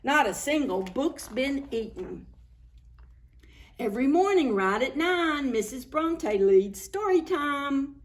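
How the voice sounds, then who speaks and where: A woman reading a picture book aloud to preschoolers as a story time host, expressive and full of exclamations. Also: sits high, takes it slowly. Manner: expressive, exclaiming, talks to young children. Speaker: children's librarian hosting story time.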